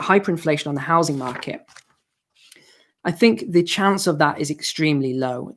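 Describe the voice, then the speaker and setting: A man hosting a live finance stream and answering viewer questions, hoarse from losing his voice, explaining in a chatty way with asides. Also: fairly high pitch, fairly bright tone. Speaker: finance channel host.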